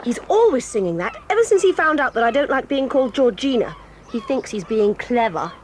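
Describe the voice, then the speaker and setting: An actress voicing a proud, quick-tempered tomboy girl in an audio drama, talking in a brisk, bossy and eager way. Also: a little high, sing-song, background noise. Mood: excited, lively. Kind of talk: 1980s audio drama acting.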